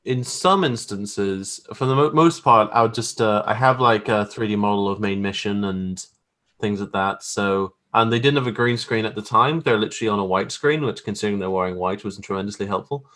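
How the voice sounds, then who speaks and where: A man's voice: on the low side, fairly quick, fairly bright tone. A man chatting casually as an interview guest, informal, wry and self-deprecating about his own work as a graphic artist.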